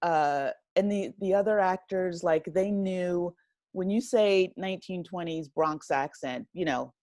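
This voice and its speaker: An American woman speaking casually as an interview guest, halting and often rephrasing, with plenty of "you know". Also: fairly low pitch, darkish tone, no echo, studio quality. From United States, a rural town. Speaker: interview guest, writer and director of an audio drama.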